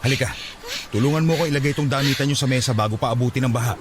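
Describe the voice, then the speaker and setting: A man's voice: mid-range voice, racing, close to an everyday voice. A man playing a stern, exasperated father in a radio drama, giving urgent orders. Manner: commanding, scolding. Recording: storm-like noise behind the voice.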